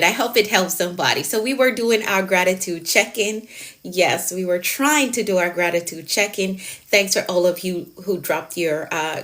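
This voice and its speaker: A woman's voice: mid-range voice, a bit bright. A woman coach talking on a live stream, encouraging and emphatic, repeating her key phrases and answering viewers' comments. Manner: encouraging, motivational. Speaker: productivity coach.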